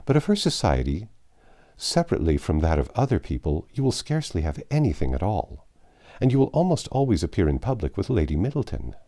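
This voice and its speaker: A man's voice: low-pitched, sing-song, fairly quick, warm tone. A man voicing a fond, coaxing mother. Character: warm, fond older mother, woman.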